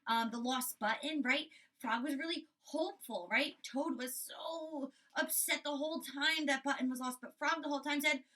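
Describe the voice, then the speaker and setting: A woman's voice: high-pitched, moderate pace, hardly any pauses, pushed and bright, recorded from a distance. A woman teacher giving a reading lesson for young children, explaining things and often checking with a questioning tag. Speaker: elementary school teacher.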